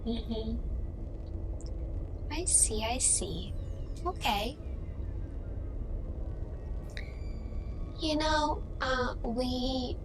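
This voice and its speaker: A woman acts out a gentle alien woman in an ASMR sci-fi roleplay. Her voice sits a little high and moves extremely slowly, with deliberate, probing pauses. Loud ambient noise runs behind her. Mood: contemplative. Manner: halting, deliberate, with pauses and soft murmurs.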